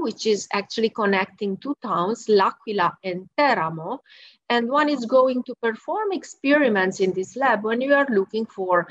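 A woman's voice: on the high side, no room sound. A woman, an experimental physicist giving a friendly, explanatory talk over slides from her office, with wry asides. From Romania. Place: her office at work, in the evening. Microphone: video call. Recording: dull lo-fi video call audio.

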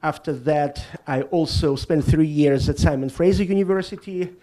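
Mellow man. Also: fairly high pitch. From Russia. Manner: formal, measured self-introduction. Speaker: physics lecturer introducing himself.

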